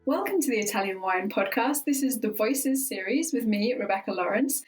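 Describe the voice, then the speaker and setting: A woman hosting a wine podcast, reading a scripted intro and then interviewing her guest with clear, upbeat delivery. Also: on the high side, expressive, moderate pace, soft background music.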